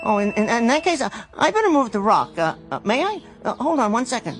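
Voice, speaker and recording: Casting: meek American failed salesman, radio drama; stammers, apologizes, rambles with animated intonation. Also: extremely high pitch, fast talker, over loud music, full character voice. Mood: hesitant, eager. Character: timid, apologetic, awkward mild-mannered failed salesman.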